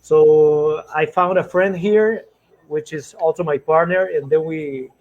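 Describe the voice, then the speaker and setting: A man's voice: fairly high pitch, takes it slowly, highs cut off. A man from Chile, in his thirties, speaking as a guest on a video call through a headset from a small room at home, telling his story loosely and easily. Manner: relaxed, loosely phrased storytelling. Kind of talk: casual online talk.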